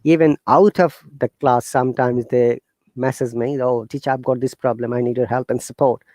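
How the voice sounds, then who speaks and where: Bangladeshi-accented man, earnest and halting. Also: mid-range voice, no room sound. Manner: earnest, animated, halting with fillers and restarts. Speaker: guest teacher. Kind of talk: casual interview talk.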